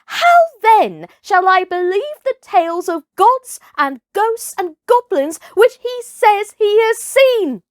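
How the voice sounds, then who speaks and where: A woman's voice: extremely high pitch, very animated, takes it slowly, few pauses, no echo, studio quality, voice pushed up, heavily acted. A woman voicing a bold, scornful boy raised in the jungle, in a storybook reading. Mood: defiant.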